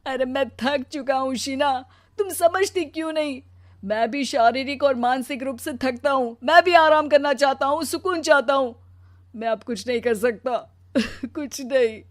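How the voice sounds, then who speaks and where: A woman's voice: on the high side, animated, moderate pace, lightly acted. A woman voicing a weary, pleading husband worn down by his burdens in a dramatised story. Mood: anguished, tearful. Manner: pleading, exasperated. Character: weary, overburdened husband, anguished office worker. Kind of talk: dramatised Hindi audio story.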